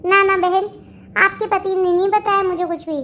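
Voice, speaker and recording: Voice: extremely high pitch, level tone, normal speed, bright, forceful tone, slight background noise. A performer voicing a gossipy, two-faced young sister-in-law in a family cartoon, chatty and placating. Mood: probing. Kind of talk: Hindi family comedy cartoon dialogue.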